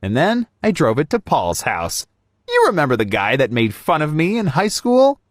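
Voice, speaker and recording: Animated man narrating a story. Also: on the high side, moderate pace, dry recording, clean studio sound. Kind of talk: scripted first-person story narration.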